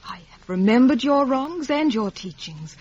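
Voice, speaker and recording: A woman's voice: high voice, sing-song, moderate pace, darkish tone, husky, full character voice. An actress playing a cold, proud young woman, speaking formally and declaiming in 1950s radio drama style. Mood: proud, unyielding. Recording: dull vintage radio recording.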